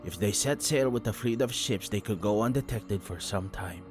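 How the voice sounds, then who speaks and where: A man voicing a grave, brooding fantasy warrior who speaks in a serious, deliberate manner. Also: fairly low pitch, soft background music, natural voice. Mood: grim, reasoning.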